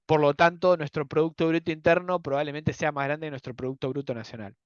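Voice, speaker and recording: A man's voice: a little high, steady tone, fast talker, smooth, no room sound, dull audio. A young Argentine man teaching an economics class, explaining step by step with many filler words and checks that students follow. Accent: Argentine Spanish. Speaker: economics lecturer. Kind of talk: university lecture.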